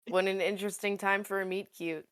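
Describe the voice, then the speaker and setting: A woman's voice: medium pitch, level tone, fairly quick, very noisy. A woman playing a tabletop game in casual, informal chat, often thinking aloud.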